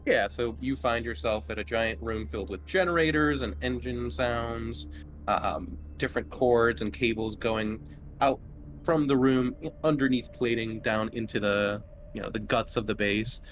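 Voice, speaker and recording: A man running a tabletop horror game, narrating casually and haltingly as he feels out each description. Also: medium pitch, a little hiss or hum. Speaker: game master.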